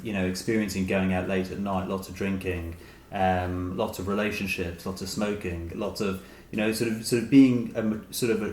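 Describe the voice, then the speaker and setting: A man in his late forties speaks in a low register, feeling his way thoughtfully through his answers. He sounds like an interview guest in a reverberant room, with some noise behind him. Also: a little mellow. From Dublin, Ireland. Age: late 40s. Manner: hesitant, thoughtful, hedging with "sort of". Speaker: interview guest, short story writer. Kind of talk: reflective conversational interview. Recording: roomy echo, noticeable background hiss.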